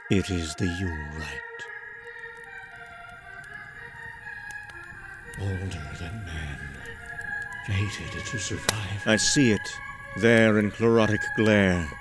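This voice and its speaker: A man performing a haunted, horror-struck narrator of eldritch horrors in a theatrical, deliberate gothic style. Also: low voice, music in the background. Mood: awed revulsion. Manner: theatrical, deliberate, swinging from hushed whispers to anguished cries.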